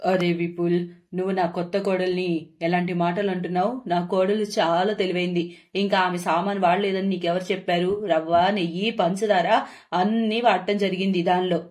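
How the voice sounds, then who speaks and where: A woman voicing a middle-aged mother-in-law, doting yet firm, with a measured, motherly manner, in a story. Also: fairly low pitch, level tone, darkish tone, pitched below the performer's own voice, natural voice. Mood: defensive.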